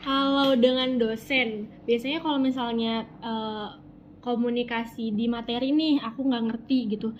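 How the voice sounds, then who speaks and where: Young woman from west java, chatty uni student, kinda high voice, muffled audio w faint hiss. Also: fairly even intonation, normal speed. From West Java (Sundanese region), Indonesia. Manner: chatty, informal Jakarta slang, frequent fillers. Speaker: university student, interviewee. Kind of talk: casual interview answers.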